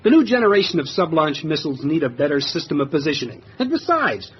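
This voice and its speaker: A man acting as a reasonable, earnest naval scientist explaining things calmly in a radio drama. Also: a little high, fast talker, husky, exaggerated voice. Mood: reasoned, earnest. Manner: explanatory, calm, mildly chiding. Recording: dull lo-fi radio recording with hiss.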